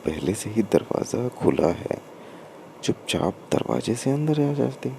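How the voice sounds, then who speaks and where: Man reading as a teasing young wife, gravelly. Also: on the low side, sing-song, a little slow, warm tone, a little hiss or hum, pitched above the performer's own voice, exaggerated voice. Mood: teasing, chiding.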